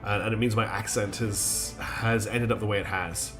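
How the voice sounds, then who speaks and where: A man's voice: lowish voice, fairly even intonation, normal speed, music in the background. A man streaming a strategy game live. He thinks aloud in a chatty way, with many ums and restarts, and his nose is slightly blocked.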